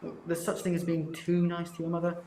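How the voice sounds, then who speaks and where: Schoolboy doing a grumpy henpecked husband, echoey room. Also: male voice, fairly high pitch, completely flat delivery, a little fast, gravelly, dark tone, slight background noise. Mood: advising. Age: teens.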